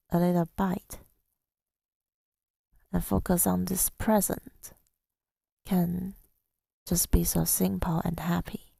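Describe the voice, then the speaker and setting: A woman telling a story in soft-spoken ASMR style, gentle and unhurried, slipping toward a breathy whisper, close to the microphone. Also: fairly low pitch, no room sound. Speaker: ASMR audio creator.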